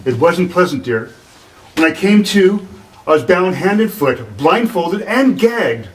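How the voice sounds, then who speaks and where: An actor playing an earnest, shaken artist in a radio drama, with a halting delivery. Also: male voice, sits high, sing-song. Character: earnest, shaken male artist recounting an ordeal. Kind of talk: 1940s radio drama reading. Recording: voice distant from the mic.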